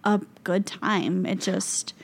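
A woman's voice: mid-range voice, flat delivery, slow pace, slightly rough. A woman in her thirties with a mid-range voice, talking casually on a podcast. She is chatty and upbeat, often agreeing and scattering "like" through her sentences. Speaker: podcast co-host. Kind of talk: casual chat.

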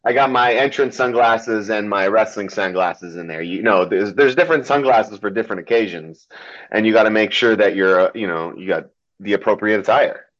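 Hesitant low-voiced man, muffled sound. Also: fairly quick, fairly bright tone. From Germany. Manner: hesitant, many ums and restarts, laughs often. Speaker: pro wrestler guest. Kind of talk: casual podcast interview. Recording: dull lo-fi sound.

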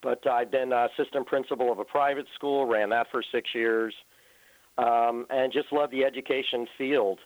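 A man's voice: medium pitch, fairly even intonation, moderate pace, dry recording. A man in his fifties, a guest on a radio interview, answering earnestly and at length with many ums. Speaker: paranormal researcher guest. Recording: tinny phone line, dry.

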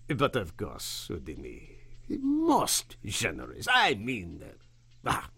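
An actor in a radio drama playing a haughty, fawning museum official who hems and haws in an oily manner. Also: male voice, on the low side, wildly swooping pitch, extremely slow, pushed and bright, breathy edge, exaggerated voice. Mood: ingratiating. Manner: oily, hemming and hawing. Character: fawning, haughty male museum official, quick to flatter wealth.

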